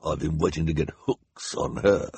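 A man voicing a sly, sneering hook-handed villain in a storybook, with a gravelly, husky voice and a slow, menacing drawl. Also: rumbling low, darkish tone, no room sound, full character voice.

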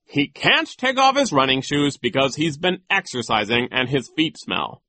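A vain, boastful storybook school official. He sounds haughty and dismissive, with a loud, raised pitch that swings widely and a sharp, bright edge. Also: big pitch swings, moderate pace, dry recording, full character voice. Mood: exasperated.

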